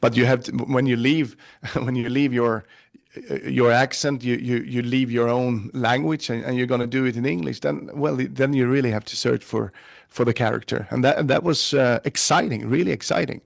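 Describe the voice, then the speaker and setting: A male actor from Sweden with a Swedish accent, answering interview questions in a halting way with many restarts. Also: medium pitch, steady tone, gravelly. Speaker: actor guest.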